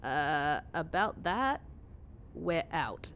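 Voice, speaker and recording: A woman plays a young office worker, weary and wry. She has a lowish voice and deadpan sarcasm, as in a mockumentary audio comedy, with some noise in the background. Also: drawn-out words, exaggerated voice. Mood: matter-of-fact. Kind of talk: mockumentary comedy narration and dialogue.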